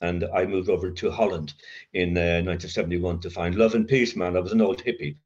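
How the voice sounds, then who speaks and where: A man's voice: low voice, fairly even intonation. Older man with a stutter. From Ireland. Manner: stuttering, halting with blocks and repeats; gently wry, reflective. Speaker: recovery storyteller. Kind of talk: personal testimony. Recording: slightly dull, muffled recording.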